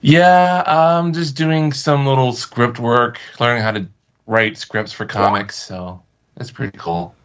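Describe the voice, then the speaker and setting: A man's voice: mid-range voice, slow, clean tone. A man chatting casually as a guest performer, informal and self-deprecating. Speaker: guest voice performer and graphic artist. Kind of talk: casual chat. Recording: dull, muffled call audio.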